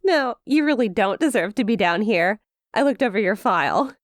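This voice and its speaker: A woman's voice: mid-range voice, expressive, normal speed, no room sound, studio quality, close to an everyday voice. A woman playing a perky, smug demon imp in an ASMR roleplay, teasing with playful mock sympathy and a possessive streak. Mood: gleeful teasing. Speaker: audio roleplay performer.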